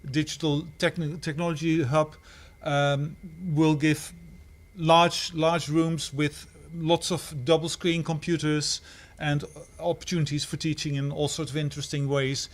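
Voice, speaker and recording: A man from the Netherlands, a head of school, answering questions on a livestream in a halting way with many restarts. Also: a little high, flat delivery.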